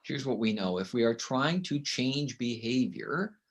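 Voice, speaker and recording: A middle-aged man from Canada talks in an emphatic, storytelling way, with a loud voice at an ordinary pitch. He often checks in with the listener with a quick "right?" as a guest in an interview. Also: animated. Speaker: guest author and consultant. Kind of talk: conversational interview.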